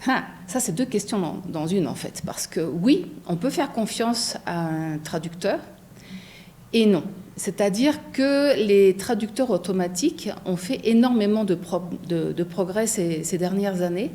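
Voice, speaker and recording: A middle-aged woman from France, a translator, answering interview questions thoughtfully with many pauses and a habit of asking "non?". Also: mid-range voice, expressive, warm tone, slight background noise. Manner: thoughtful, many fillers, frequent tag "non?".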